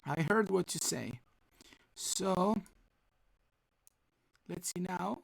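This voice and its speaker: Male teacher, gravelly and husky, dry close sound, halting delivery while he checks his audio. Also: high voice, expressive, dry recording, poor audio. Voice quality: rough, husky voice. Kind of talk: live online class.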